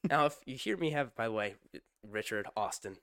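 A young man co-hosting a podcast in casual chat, slightly hoarse from a sore throat, joking and quizzing his co-host. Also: on the low side, animated, brisk, pushed and bright, no room sound, studio quality. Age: young adult. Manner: chatty, joking, asks his co-host questions.

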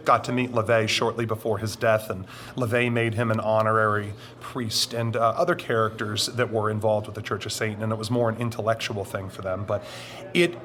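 A middle-aged man with a deep voice, answering interview questions in a deliberate, explanatory way with drawn-out emphatic phrases. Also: flat delivery, a bit bright. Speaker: interview guest.

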